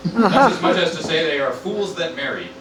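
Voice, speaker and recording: Man playing menacing mob citizen, high voice, lively pitch, blunt questioning, radio play, echoey. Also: normal speed, close to an everyday voice. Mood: stern, mocking. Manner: blunt, interrogating. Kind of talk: comic amateur Shakespearean radio play. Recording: echoey, distant, noisy live room.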